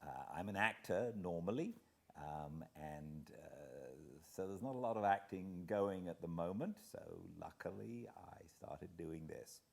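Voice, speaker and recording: A man's voice: low voice, lively intonation, measured pace, vocal fry. A British man, an actor and narrator, chatting casually to introduce a reading in a hesitant, rambling, reflective way. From Britain.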